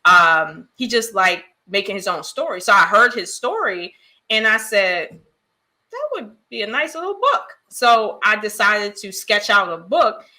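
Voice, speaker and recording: A middle-aged woman talks as a podcast guest, recounting how she became an author in a lively, laughing way. Her voice has a bright, forward ring to it. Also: mid-range voice. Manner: animated, laughing, storytelling. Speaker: guest, children's book author. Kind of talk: casual podcast chat.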